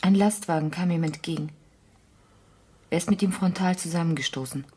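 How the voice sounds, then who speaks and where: Subdued, shaken woman, radio drama. Low and mellow, terse, grave delivery, husky. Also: brisk. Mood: numb, grave. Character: shaken, subdued woman bearing bad news. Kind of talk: German radio drama acting.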